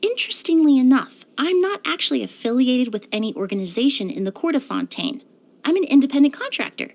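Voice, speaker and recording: A young woman game character, a composed perfumer. Her voice sits high, and she speaks with measured courtesy. The delivery is scripted, softly formal and even-tempered. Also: gravelly, full character voice. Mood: matter-of-fact, composed.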